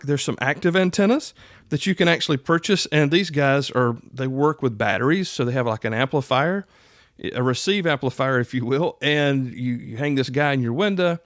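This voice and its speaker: A man's voice: medium pitch, no echo, studio quality. A middle-aged man hosting a podcast, explaining things in a casual, folksy, conversational way. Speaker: podcast host. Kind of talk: casual instructional podcast talk.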